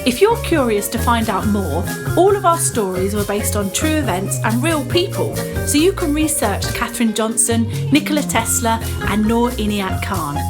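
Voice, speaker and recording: A woman presenter reading a scripted closing credits announcement for an audio play. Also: on the high side. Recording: loud music under the voice. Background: loud music.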